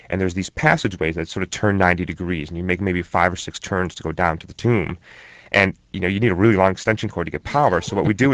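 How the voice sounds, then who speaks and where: Low-voiced guy talking through his research, lots of ums, dry close sound. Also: fairly bright tone, no room sound. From born in Germany to Egyptian parents. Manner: thoughtful, many ums and restarts. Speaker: interview guest, researcher. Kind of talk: relaxed conversational interview.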